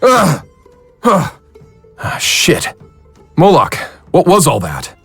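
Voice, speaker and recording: A wary man, played by an actor with a husky, fairly low voice and lively intonation. He sounds uneasy in this horror audio drama, with music behind him. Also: slow, exaggerated voice. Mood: confused, groggy. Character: wary, uneasy man trapped in an infernal dream.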